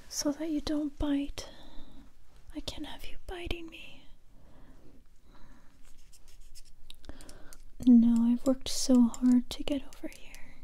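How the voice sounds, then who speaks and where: A determined young female survivor, acted out by a woman questions a captive in an ASMR roleplay. The voice is a soft, breathy whisper, very mellow and unhurried. Each phrase is deliberate and softly stern, delivered close to the mic. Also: high-pitched, heavily acted. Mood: hushed, impatient. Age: young adult. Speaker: ASMR performer. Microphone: very close microphone.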